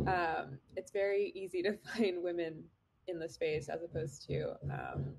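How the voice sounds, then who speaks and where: Husky, gravelly woman panelist. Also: on the low side, darkish tone. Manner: hesitant, with filler ums. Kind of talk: casual panel talk. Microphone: video call.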